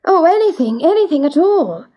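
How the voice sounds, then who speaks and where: A woman's voice: very high voice, very animated, moderate pace, lo-fi sound, voice pushed up. A woman voicing an earnest, anxious young queen in a storybook, with a pleading, emphatic manner. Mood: eager, willing. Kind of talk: storybook character voice.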